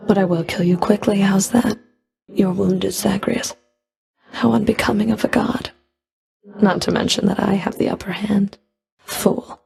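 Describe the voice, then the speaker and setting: A woman's voice: mid-range voice, gravelly, a little mellow. A woman playing a stern, scornful underworld fury in a video game, with a cold, sardonic, taunting delivery. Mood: cold, menacing. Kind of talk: scripted video game character dialogue.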